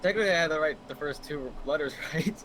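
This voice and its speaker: A man's voice: a little high, fast, a bit bright, poor audio. A man chatting casually as co-commentator on a game stream. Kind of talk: casual chat.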